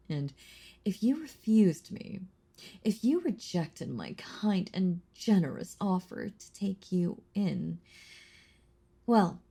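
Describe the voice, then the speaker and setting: An ancient female vampire, played by a woman, speaks in a slow, husky murmur with a creaky edge. She is possessive and condescending, teasing the listener with quiet menace in an intimate audio roleplay, often slipping into whispers. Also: lowish voice, full character voice. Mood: jealous, threatening.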